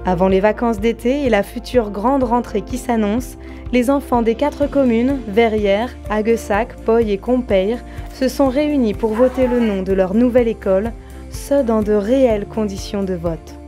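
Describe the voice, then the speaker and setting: A woman with a slightly high voice reads scripted documentary narration. Music plays clearly behind her throughout. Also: normal speed, dark tone.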